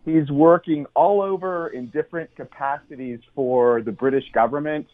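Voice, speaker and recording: A man's voice: fairly high pitch, moderate pace. An American man, a journalist guest, explaining at length in an earnest way, with many ums and restarts, speaking over the phone on talk radio. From United States. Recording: tinny phone line.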